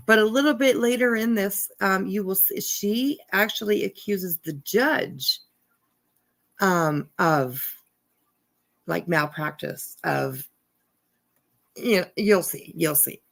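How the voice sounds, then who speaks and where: A woman speaks in a mid-pitched voice with noticeable vocal fry. She takes her time, moving between reading aloud and loose, irreverent commentary. The delivery is casual and informal, like a streamer chatting to followers. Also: takes it slowly, fairly bright tone. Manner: giggly, irreverent, swears. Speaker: livestream host.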